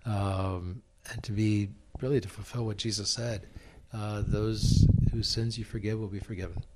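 A priest interviewing on a radio program, speaking haltingly, restarting and repeating words as he gathers his thoughts. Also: lowish voice, fairly even intonation, a little mellow.

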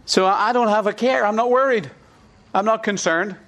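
A man's voice: high voice, normal speed, fairly bright tone. A middle-aged man with an Irish accent, preaching a sermon and leading the congregation in call-and-response. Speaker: pastor.

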